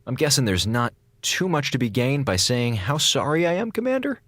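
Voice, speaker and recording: An actor playing an excitable, sarcastic comms officer on a space station in an audio drama, rambling and melodramatic. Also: male voice, medium pitch, normal speed, no echo, clean studio sound. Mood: weary, rueful. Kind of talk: modern audio drama in Golden Age radio style.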